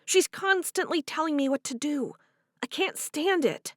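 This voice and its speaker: A fretful young woman on the edge of tears, as a woman reads her, her voice pitched up high and lifted louder than the narration. She pleads and complains softly through a storybook scene, recorded close and dry. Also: lively intonation, unhurried, no room sound. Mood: upset. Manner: soft, pleading, tearful.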